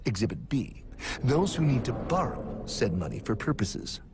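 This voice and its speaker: A man playing a smug, theatrical cartoon narrator who lectures in a pompous, deliberate, mock-mysterious way. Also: medium pitch, sing-song, heavy background noise, natural voice. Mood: mock solemn. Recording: background music under the voice.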